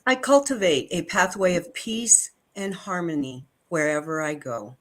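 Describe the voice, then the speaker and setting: A woman reading affirmations and spiritual texts aloud in a measured, devotional way. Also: fairly low pitch. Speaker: affirmations and scripture reader.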